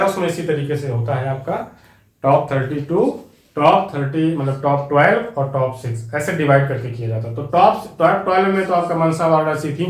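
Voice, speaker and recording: A man's voice: fairly high pitch, echoey room, low-quality recording. A man with an Indian accent teaching an exam-prep class, repeating key facts and speaking straight to his students. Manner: repetitive, drilling facts, addresses students directly.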